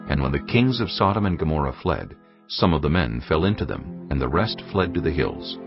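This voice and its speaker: A man narrating scripture in a measured, grave storytelling manner. The audio is dull and lo-fi. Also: extremely low pitch, creaky. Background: music.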